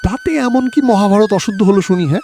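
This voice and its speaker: A man's voice: high voice, a little fast, dark tone. A man playing an irritable, cruel heir of a landlord family in a cartoon drama, scornful and dismissive. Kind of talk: Bengali cartoon drama acting. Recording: music under the voice.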